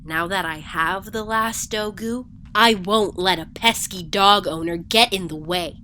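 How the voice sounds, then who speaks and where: An actress playing a stern, secretive and impatient alien treasure hunter in an audio drama, speaking in a curt, commanding manner. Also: female voice, medium pitch, unhurried, bright, forceful tone, slight background noise. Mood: smug, determined.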